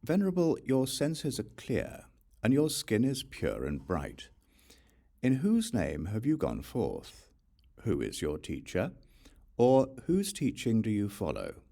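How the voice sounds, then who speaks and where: A man's voice: on the low side, wildly swooping pitch, slow pace, vocal fry, dark tone, voice pushed up, heavily acted. A man voicing an earnest, eager wandering seeker of truth in a scripture audiobook, polite and intent in his questions. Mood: eager, respectful.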